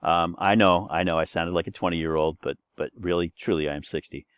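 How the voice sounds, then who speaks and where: A man's voice: low-pitched, steady tone, fairly quick, fairly bright tone, clean tone, no room sound. A man in his sixties giving a recorded course introduction as the instructor, chatty and rambling with many fillers and restarts. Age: 60s.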